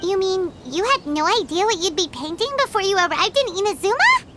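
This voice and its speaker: A woman voicing a small, excitable, chatty fairy-like companion in a video game, with a squeaky childlike voice and emphatic delivery. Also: fairly quick, very noisy. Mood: surprised, doubtful. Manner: refers to self in third person, emphatic. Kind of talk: video game character acting. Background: background music.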